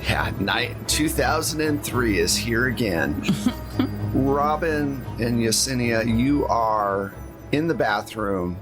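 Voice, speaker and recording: A man's voice: medium pitch, expressive, slow. Man running a tabletop game, music behind. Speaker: game master. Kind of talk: casual tabletop game narration.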